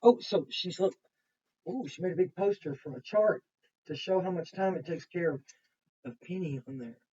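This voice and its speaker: Lady kindergarten teacher reading a kids book out loud, explains stuff simply, sounds distant and kinda muffled. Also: on the low side, expressive, moderate pace, husky. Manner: simple explanatory talk aimed at young children. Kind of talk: read-aloud of a children's picture book with chatty asides.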